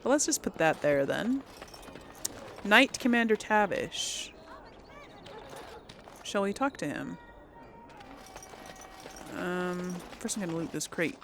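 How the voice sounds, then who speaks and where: A woman's voice: on the low side, animated, slow, pauses often, fairly bright tone, a little hiss or hum. A woman doing casual, chatty let's play commentary, thinking aloud and reading in-game text. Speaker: host of a role-play game series.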